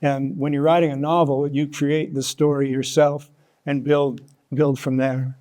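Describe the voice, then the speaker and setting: Older man, American accent, mellow tone, slightly high pitch, thoughtful halting delivery, interview storytelling. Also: little pitch movement. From United States, Kansas. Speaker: interview guest, author and former sports journalist. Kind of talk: conversational interview answers, reflective storytelling.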